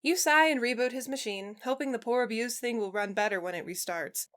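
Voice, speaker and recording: Female story narrator, higher voice with a bright, forceful edge, expressive scripted read. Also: fairly quick. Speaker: fan fiction narrator.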